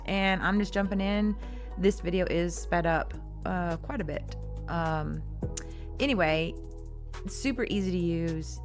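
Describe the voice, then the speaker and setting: A woman giving a casual art tutorial, chatty and rambling with many ums and restarts, her voice hoarse and worn. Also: lowish voice. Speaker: art teacher.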